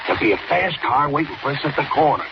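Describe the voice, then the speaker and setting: A man's voice: mid-range voice, lively intonation, fast talker, natural voice. An actor playing a tough, impatient jailbird gangster with clipped wise-guy slang in a 1940s radio drama. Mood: scheming, confident. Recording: dull, noisy old radio transcription.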